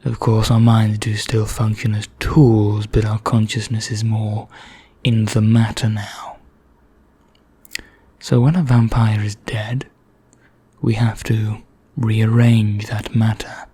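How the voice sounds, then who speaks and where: A man playing a genteel, secretive immortal vampire in an ASMR roleplay, speaking in a hushed, deliberate, breathy half-whisper. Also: on the low side, little pitch movement. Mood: confiding, secretive. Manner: slow, deliberate, genteel and conspiratorial. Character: bored, secretive immortal male vampire, darkly courteous.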